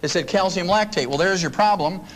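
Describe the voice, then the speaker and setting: A man's voice: high voice, a little fast, low-quality recording. An older man giving a folksy, joking seminar talk to an audience in a meeting room. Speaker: lecturer.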